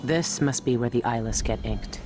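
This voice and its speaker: A woman's voice: extremely low pitch, moderate pace, over music. An actress playing a tough, world-weary female assassin in a video game, dry, sardonic and terse. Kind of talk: video game dialogue and inner monologue.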